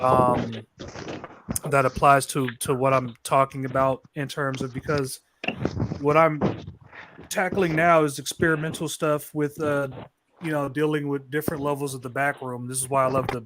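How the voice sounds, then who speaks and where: A man's voice: medium pitch, noisy background. Rambling man talking about making beats. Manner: rambling, many restarts and fillers. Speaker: beat maker. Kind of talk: casual conversation.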